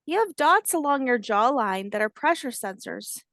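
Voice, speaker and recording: A woman voicing a kindly, patient old grandmother alligator, telling facts in a gentle storybook manner. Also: fairly high pitch, big pitch swings, normal speed, dry recording, studio quality. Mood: proud, instructive. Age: older.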